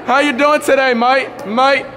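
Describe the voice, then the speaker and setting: A young man hamming up a squeaky fake-Aussie hunter. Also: fairly even intonation, unhurried, full character voice. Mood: hyped. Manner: shouty, giggly, ends every line with "mate". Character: hyper excited young male wildlife hunter, put-on Aussie. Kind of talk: improvised prank vlog bit. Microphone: phone camera. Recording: noisy crowded room on phone.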